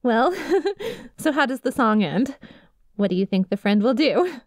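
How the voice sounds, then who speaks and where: A high, sweet voice of a lovesick young woman played by an actress in an intimate ASMR roleplay. She is nervous and clingy, swinging between tender pleading and quiet menace. The sound is very dry, with no room around it. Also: sing-song, measured pace, no room sound. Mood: unhinged pleading.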